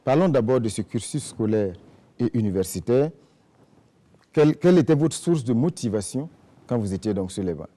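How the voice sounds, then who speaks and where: A man's voice: medium pitch, animated, moderate pace, dark tone. A man hosting a formal TV interview show, asking long, wordy questions with fillers. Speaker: TV talk show host.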